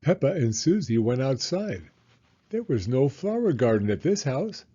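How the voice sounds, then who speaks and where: A man reading a children's storybook aloud in an expressive style. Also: medium pitch, moderate pace, slightly rough, highs cut off. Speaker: storybook reader.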